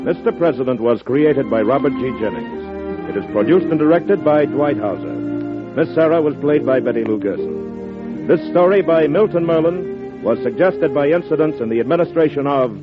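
A male announcer with an American accent reads credits in 1940s radio style. His voice is fairly high, with clear music behind it on a muffled vintage recording. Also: expressive, normal speed.

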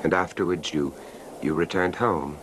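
A man playing a calm, reserved confidant who gently prompts in a measured way in a radio drama. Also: deep voice, lightly acted. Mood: discreet, prompting. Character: calm, low-voiced male listener, discreet, gently prompting. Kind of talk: old time radio drama acting. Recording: noisy vintage radio drama recording.

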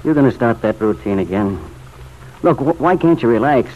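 A man's voice: mid-range voice, lively intonation, normal speed, natural voice. An actor playing a bitter, restless young man in an old radio drama, curt and irritable. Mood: irritated, defensive. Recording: muffled, noisy old radio recording.

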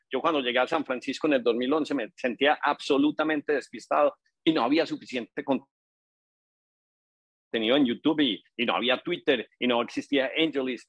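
A man's voice: medium pitch, slightly rough, dry recording. A man hosting a podcast, chatting casually and repeating words as he goes. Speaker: podcast host. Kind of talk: casual chat.

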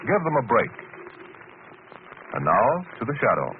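A man's voice: fairly low pitch, sing-song, moderate pace. A man announcing in an emphatic, declamatory, scripted style of 1940s radio. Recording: tinny vintage radio broadcast with noise.

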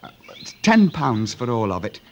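A man's voice: medium pitch, very animated, unhurried, darkish tone, highs cut off. An actor playing a young city fireman, earnest and scheming, persuading a little hesitantly in an old-time radio drama. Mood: urgent persuading. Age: young adult. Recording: faintly noisy old radio recording.

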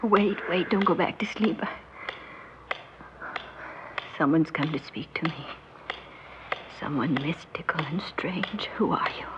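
A woman's voice: low voice, moderate pace, natural voice. An actress playing an anxious, vain wife and mother of about forty, genteel and hesitant, in a mid-century radio drama. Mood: hushed, fearful. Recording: muffled, noisy old radio recording.

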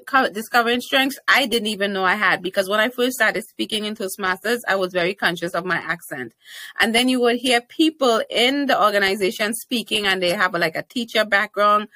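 A woman's voice: medium pitch, sharp tone, no room sound. A young woman from Trinidad and Tobago with a Trinidadian accent, a confident and playful podcast guest who laughs often in casual interview chat. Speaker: podcast guest, TV host and speaker.

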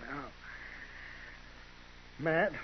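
A dying frontier lawman, talks very slowly and weakly, resigned and forgiving. His folksy, labored lines come through a muffled old radio western recording. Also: slight background noise. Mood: forgiving, failing. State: weak, dying. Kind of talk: 1950s radio western acting.

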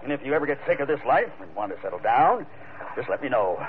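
A man's voice: mid-range voice, sing-song, quick pace, natural voice. A man playing an earnest, hesitant, wealthy mine owner in a 1940s radio drama, stammering a little. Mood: earnest, awkward. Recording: tinny, faintly noisy vintage radio recording.

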